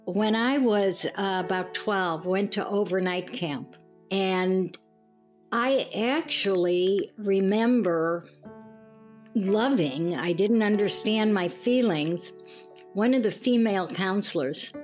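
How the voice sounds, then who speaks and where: An older woman with a medium-pitched voice reminisces in conversation. Her delivery is very slow, halting and reflective, set over music. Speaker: discussion participant. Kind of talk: personal reminiscence.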